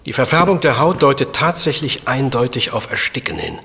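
An actor playing a brilliant, pedantic professor detective in a radio play, formal and precise. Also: male voice, mid-range voice, very animated, brisk, vocal fry, pushed and bright, slight background noise, full character voice. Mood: coolly analytical. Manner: formal, precise, pompous wording. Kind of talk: German radio play acting. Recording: reverberant room, music behind.

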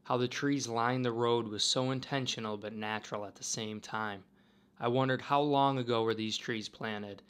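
A man narrating a travel vlog in a calm, wistful, musing way. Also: medium pitch, normal speed, clear, resonant tone. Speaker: travel vlogger. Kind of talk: reflective voice-over narration.

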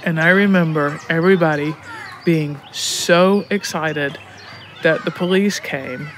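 A woman's voice: sits low, no echo. A woman from Alabama telling reflective stories in an interview, emphatic and often checking with "right?". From Alabama, United States. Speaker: prison education program director.

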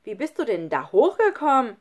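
Storybook casting: woman as eager young girl, shrill, high, crying out. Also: wildly swooping pitch, moderate pace. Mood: amazed. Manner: crying out, exclaiming. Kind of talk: children's storybook read aloud.

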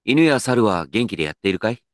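An actor playing an earnest, dignified company president in a comedic radio drama, with pompous, measured executive speech. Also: male voice, lowish voice, very animated, no echo, studio quality. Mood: genial.

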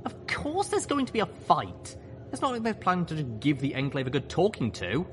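A man voicing an eager young pony mare who chatters with excited questions in a storybook reading. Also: on the high side, moderate pace, soft background music, pitched above the performer's own voice.

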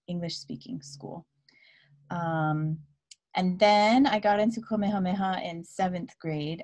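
A woman in her thirties from Hawaii, telling her story as a guest speaker on a video call. She talks in a relaxed way with many fillers and drops Hawaiian words into her English. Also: on the low side. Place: dim room at home. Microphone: laptop webcam video call.

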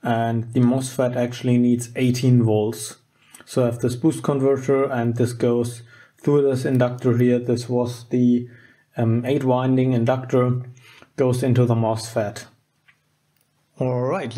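A man giving a casual, unscripted electronics demonstration, halting and informal as he thinks aloud. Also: mid-range voice, level tone, warm tone. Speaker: host of a DIY electronics channel. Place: indoors at a wooden workbench.

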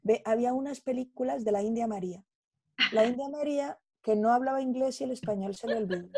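A woman's voice: fairly high pitch, fast, mellow, husky. Colombian woman with a mixed Spanish accent. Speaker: invited speaker. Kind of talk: casual chat on a video call. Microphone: video call.

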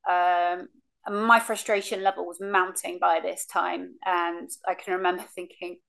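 A woman's voice: lowish voice, level tone, unhurried, creaky, a bit bright. A woman, frankly telling her cancer story on a video call from her living room, with many ums. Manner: frank, many ums, acts out remembered lines. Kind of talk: casual recounting, remote interview. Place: living room, seated on a sofa. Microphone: video call webcam.

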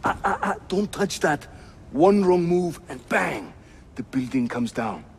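An actor playing a jovial, roguish Turkish assassin, a teasing mentor with a Turkish accent and playful delivery in a video game. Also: male voice, a little high, unhurried, vocal fry, slight background noise, heavily acted. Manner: playful, animated. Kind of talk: cinematic video game acting.

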